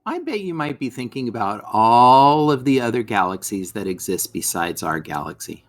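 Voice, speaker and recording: A man reading a scripted educational lesson aloud for children, as a teacher, in an expressive way that speaks straight to the listeners. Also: mid-range voice, moderate pace. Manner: expressive, addresses listeners with questions.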